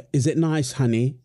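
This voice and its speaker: A man's voice: mid-range voice, mellow. A man playing a young father feeding his baby daughter, playful and affectionate, laughing often. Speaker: voice actor in audio roleplay. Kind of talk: wholesome audio roleplay.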